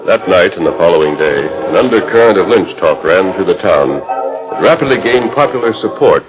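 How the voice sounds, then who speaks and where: A man with a low voice narrates a radio serial over music, in 1940s announcer style. His delivery is formal and dramatic, on an old transcription disc. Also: animated, moderate pace, low-quality recording.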